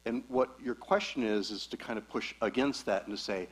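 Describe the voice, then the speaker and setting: A man speaking as a guest psychologist at a church talk, explaining concepts clearly and patiently. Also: lowish voice, brisk.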